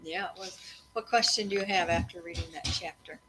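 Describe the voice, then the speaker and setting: A woman reading a children's book aloud to kids in a storytelling style, pausing to chat and ask them questions. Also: on the low side, over music, low-quality recording. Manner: breaks off to address and question children.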